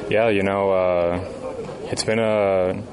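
Low flat-voiced young man, hesitant. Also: takes it slowly, crowd noise, low-quality recording. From South Florida. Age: 20s. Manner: hesitant, many fillers. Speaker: baseball player, guest. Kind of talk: interview answers. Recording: outdoor noise behind.

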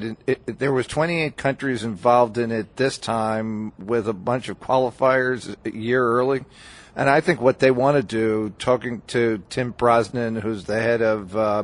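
A man speaking in an ordinary middle register, guesting on a radio talk show. He talks loosely and at length, often restarting his sentences and filling the gaps with "uh" and "you know.". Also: medium pitch. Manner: rambling, many restarts and fillers. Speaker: baseball writer, guest. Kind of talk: casual radio interview chat.

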